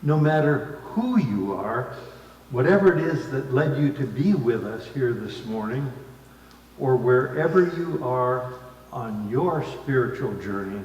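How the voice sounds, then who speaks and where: A man delivers a formal welcome to a congregation at an unhurried, measured pace. His mid-pitched voice is picked up from some distance in a large, echoing sanctuary. He phrases each part deliberately, in the manner of a scripted church greeting. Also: darkish tone. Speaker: worship associate giving the welcome. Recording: echoey hall, distant mic, faint noise.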